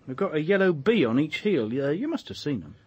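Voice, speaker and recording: A husky British man plays a brusque chief inspector working methodically through a murder case. His fairly high voice moves expressively as he probes in a terse, businesslike way. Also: sing-song, brisk, darkish tone. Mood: brisk, confiding. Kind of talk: British radio mystery drama acting.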